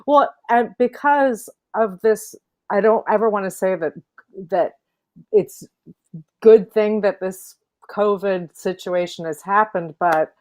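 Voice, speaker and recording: A woman from Illinois, an opera singer, chatting as an interview guest over a video call, thoughtful with many fillers and soft laughs. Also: mid-range voice, takes it slowly. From Illinois, USA. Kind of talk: casual interview chat.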